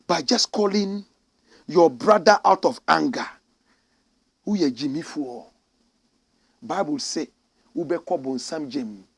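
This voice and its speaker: A high-voiced man from Ghana delivers fiery political commentary. He preaches and scolds, jumping from shouted outbursts to low, muttered remarks. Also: slow pace, gravelly, pauses often, slightly rough. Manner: preachy, emphatic, swings from shouting to low asides.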